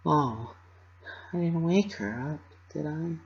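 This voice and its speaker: Man putting on a hushed, timid young pony mare. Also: on the high side, slow pace, creaky, lo-fi sound, a little hiss or hum, pitched above the performer's own voice. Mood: timid, apologetic. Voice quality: soft, whispery. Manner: hesitant, apologetic. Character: timid, sweet, soft-spoken young female pegasus pony.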